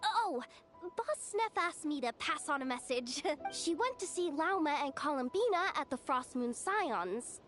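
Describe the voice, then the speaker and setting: A woman's voice: high-pitched, lively intonation, unhurried, a bit bright, soft background music. A woman voicing a young, cheerful mercenary messenger in a video game, with a breezy, playful manner. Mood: cheerful, teasing.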